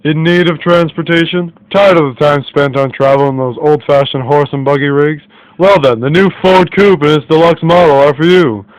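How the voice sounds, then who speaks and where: A man's voice: a little high, fairly even intonation, moderate pace, no echo, rough recording. A man reading a scripted advertisement in the style of 1940s radio. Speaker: commercial announcer.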